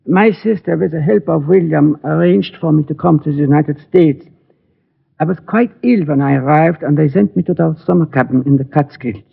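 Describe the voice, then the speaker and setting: A man playing a small, polite German gunmaker in his sixties in a radio drama, speaking halting, formal English with a German accent. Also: a little high, gravelly, slightly rough, heavily acted. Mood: earnest. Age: 60s. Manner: halting, formal, foreign phrasing. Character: small, polite elderly German immigrant gunmaker seeking help. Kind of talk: 1940s radio drama acting. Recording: tinny vintage radio recording.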